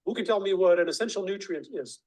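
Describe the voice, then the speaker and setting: Male video narrator, high pitch, steady instructive delivery. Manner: clear, measured, instructive. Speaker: online nutrition educator.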